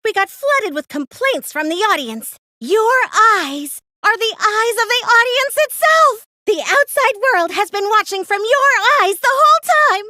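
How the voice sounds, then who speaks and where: A woman's voice: extremely high pitch, sing-song, measured pace, hardly any pauses, sharp tone, no echo, studio quality, heavily acted. A woman voicing a gleeful, gloating young female cosplayer mastermind in an anime video game, theatrical and taunting. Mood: gloating, manic.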